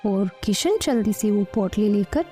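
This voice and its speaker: A woman's voice: medium pitch, expressive, unhurried, mellow. A woman storyteller reading scripted narration for a children's story. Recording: music under the voice.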